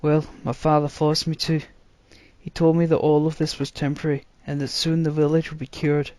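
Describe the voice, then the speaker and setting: A man's voice: a little high, monotone, brisk, a little mellow, dry recording. A man reading a fantasy story aloud as a dramatic storybook narrator. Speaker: narrator, reader.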